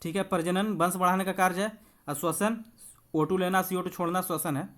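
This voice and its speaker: High-pitched man teaching a quiz lesson. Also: steady tone, fairly quick. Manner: instructive, addresses viewers familiarly as brothers and friends. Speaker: exam coaching teacher. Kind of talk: quiz walkthrough lesson with explanations.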